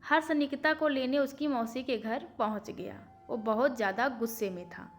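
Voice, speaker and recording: A woman's voice: sits high, normal speed. A woman storyteller reading a scripted family moral story in audiobook narration. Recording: faint background music. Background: faint music.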